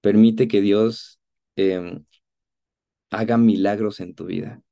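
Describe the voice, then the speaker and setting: A man of about thirty with a Mexican accent, giving an earnest, conversational personal testimony in a halting way over a video call. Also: lowish voice, steady tone, gravelly, mellow. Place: indoor room at home, on a video call.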